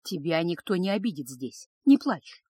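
A woman voicing a world-weary, consoling harem woman in an audiobook, gentle and measured. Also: lowish voice, very animated, husky, no room sound. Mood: soothing, sad.

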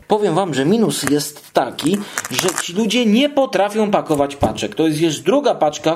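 A slightly high-voiced man talks very slowly in a casual monologue. He comments playfully and savours his phrases, with a little noise behind him. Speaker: podcast guest contributor. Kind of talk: casual monologue, narrating while handling things.

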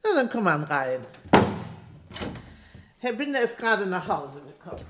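A woman's voice: lowish voice, big pitch swings, a little slow, very noisy. A woman playing a polite, welcoming housekeeper in a German radio crime drama.

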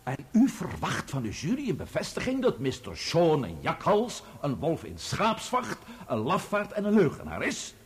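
A sharp cross-examining barrister in a radio play. His voice sits fairly high and swings dramatically up and down as he presses each pointed, insinuating question. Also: wildly swooping pitch, moderate pace. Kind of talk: radio play courtroom acting.

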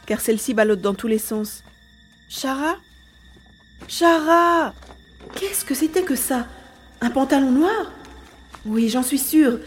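A woman playing an anxious, loyal partner who guides from afar in an audio drama, expressive and thinking aloud. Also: a little high, lightly acted. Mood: alarmed. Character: anxious, loyal partner watching and guiding remotely. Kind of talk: French-language audio drama dialogue and monologue.

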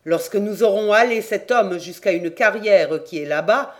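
A reader voicing a rough man from a village who is harsh and callous. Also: medium pitch, moderate pace, close to an everyday voice.

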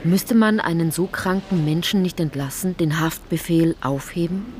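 A woman's voice: on the low side, normal speed, darkish tone, a little hiss or hum, natural voice. An actress playing an indignant, principled woman in a radio play, narrating in an articulate, insistent, pointed way. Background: music.

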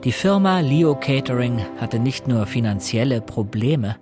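An actor playing an earnest young investigator who narrates a German radio play, composed and courteous. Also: male voice, medium pitch, lively intonation, a little slow, over music, natural voice. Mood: composed, reflective.

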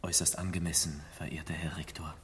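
An actor playing an obsequious, excitable scholar in a theatrical radio play. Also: male voice, extremely low pitch, fast, noisy background. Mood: fawning. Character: fawning, excitable male academic colleague of the rector. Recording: reverberant hall, music behind.

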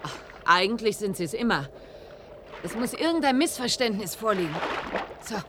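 A woman's voice: mid-range voice, very animated, normal speed, a little hiss or hum. An actress playing a wistful, defiant woman diver in a German radio play, speaking with pointed drama. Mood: hopeful. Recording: loud watery background sound effects.

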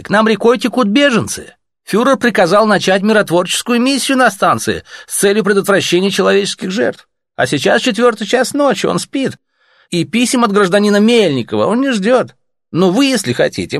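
A man's voice: high voice, vocal fry, no echo, clean studio sound, voice pushed up, full character voice. A man voicing a detached, formal officer who stays coldly courteous. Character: cool, detached officer with a polite, bureaucratic tone.

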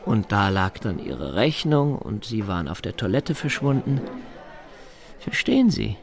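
A man's voice: fairly low pitch, wildly swooping pitch, unhurried, some chatter behind, heavily acted. A needling, menacing man. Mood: needling, falsely polite. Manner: formal address, pointed rhetorical questions, needling. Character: insistent, menacing snooping man with a grudge against big shots. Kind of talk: naturalistic German radio drama dialogue.

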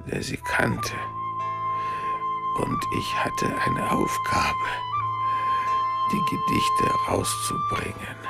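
An actor playing a weary Russian former labour-camp prisoner in a radio drama, brooding and halting, with breath in his voice. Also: very high voice, creaky, full character voice. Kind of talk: German radio drama acting. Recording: music behind the voice.

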